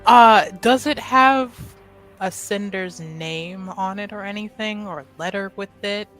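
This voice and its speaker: A woman's voice: medium pitch, unhurried, fairly bright tone. A woman chatting casually as a player in a tabletop roleplaying game. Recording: music behind the voice. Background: music.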